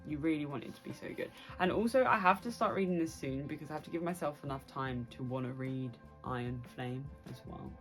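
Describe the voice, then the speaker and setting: Young woman, British accent, low pitch, casual book vlog, excitable and chatty, faint background music. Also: fairly quick, hardly any pauses, poor audio. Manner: chatty, excitable, addresses viewers directly. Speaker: book vlogger, host.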